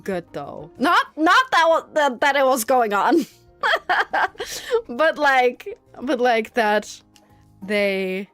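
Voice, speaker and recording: A woman's voice: a little high, big pitch swings, slow pace, fairly bright tone, no room sound, soft background music. A young woman from the Netherlands, a native Dutch speaker, chatting casually and rambling with many fillers while streaming a game, often breaking into giggles. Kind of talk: casual chat while gaming.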